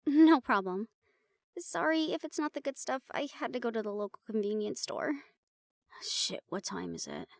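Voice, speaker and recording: A woman's voice: on the high side, expressive, moderate pace, gravelly, dry recording. A woman playing an uptight, weary researcher in a fantasy audio drama, with a dry, exasperated way of talking. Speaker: voice actor in an audio roleplay. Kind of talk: fantasy audio drama roleplay, conversational acting.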